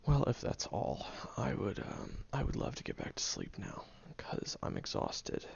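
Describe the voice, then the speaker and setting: A man's voice: sits low, wildly swooping pitch, unhurried, gravelly, husky, heavily acted. A man voicing a prickly young man who secretly cares, speaking straight to the listener in an audio roleplay, grumbling and hesitant but tender underneath. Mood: teasing. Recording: dull lo-fi recording.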